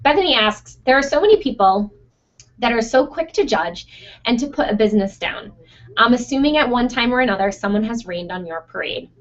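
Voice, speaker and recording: A young woman who runs a photo studio, answering listener questions in a chatty, casual way with frequent fillers and laughs, her voice going hoarse. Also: on the high side, expressive, brisk. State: losing her voice. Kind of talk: casual Q&A monologue. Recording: dull lo-fi sound.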